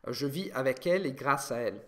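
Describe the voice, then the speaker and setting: A young Italian man answering viewer questions in a casual monologue, pausing and restarting often as he thinks aloud. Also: medium pitch, expressive, a bit bright. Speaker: language learning video host.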